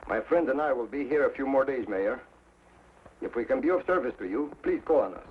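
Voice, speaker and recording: An actor playing a calm, courteous and resolute cowboy hero in a 1950s television Western. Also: male voice, mid-range voice, a little fast, slight background noise, lightly acted. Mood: courteous, assured. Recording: tinny, band-limited 1950s TV soundtrack with music.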